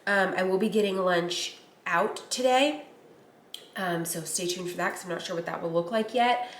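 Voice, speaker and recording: A woman's voice: fairly low pitch, normal speed, a little hiss or hum. A young woman with a slightly nasal voice from a recent cold, chatting casually in a rambling vlog. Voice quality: a little nasal. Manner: chatty, rambling, lots of fillers. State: recovering from a cold. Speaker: lifestyle vlogger.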